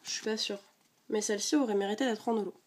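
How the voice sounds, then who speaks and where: A woman's voice: medium pitch, fairly even intonation, fast, darkish tone. A woman with a stuffy head-cold voice, chatting casually with lots of fillers while opening card packs. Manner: chatty, many fillers, rattles off card names. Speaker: card collecting vlogger. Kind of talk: casual unboxing chat.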